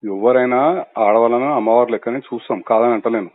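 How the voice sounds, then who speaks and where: A man's voice: medium pitch, flat delivery, quick pace, no echo. A man with a Telangana Telugu accent confronting someone as a caller, accusing, insistent and cutting in. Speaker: caller from an activist organisation. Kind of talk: confrontational phone conversation. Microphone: phone. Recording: muffled recorded phone call.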